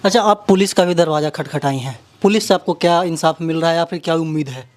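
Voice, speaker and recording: A woman with a Bihari accent working as an interviewer, asking long conversational questions in a halting, wordy way. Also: deep voice, darkish tone.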